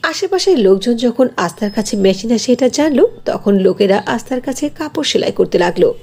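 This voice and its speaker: Lively, slightly husky female storyteller. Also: fairly high pitch, a little fast. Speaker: narrator. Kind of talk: scripted storytelling narration for cartoon.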